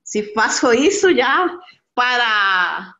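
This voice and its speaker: A middle-aged woman with a Costa Rican Spanish accent, a language student chatting haltingly as she searches for words on a video call. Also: a little high, very animated, pushed and bright. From Costa Rica. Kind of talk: casual lesson conversation. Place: indoor room, video call. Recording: dull lo-fi video-call audio.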